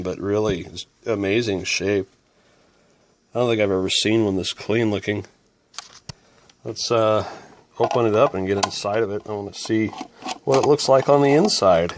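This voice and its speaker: Casual male hobbyist presenter with a lowish voice, talking through a hands-on repair as thoughts occur. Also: normal speed, pauses often. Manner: musing, thinking aloud.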